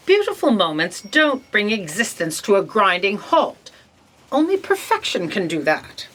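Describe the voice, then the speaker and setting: A woman's voice: mid-range voice, wildly swooping pitch, measured pace, a bit bright, full character voice. A woman playing an imperious, exasperated boss in a comic audio drama, commanding and sarcastic in a theatrical way. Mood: incredulous, scolding. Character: imperious exasperated female boss of a bureaucracy of fate.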